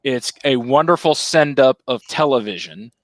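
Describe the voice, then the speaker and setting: A man on a casual film panel, explaining his picks in a measured way. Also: medium pitch, dry recording. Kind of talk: casual panel discussion of films.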